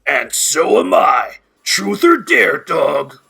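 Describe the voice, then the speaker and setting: A man playing an excitable, nosy and dramatic young friend with a gravelly rasp in an audio drama. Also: on the high side, takes it slowly, faint music behind, full character voice. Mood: nosy, pushy. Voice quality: gravelly, raspy. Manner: incredulous, theatrical. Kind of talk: amateur audio drama acting.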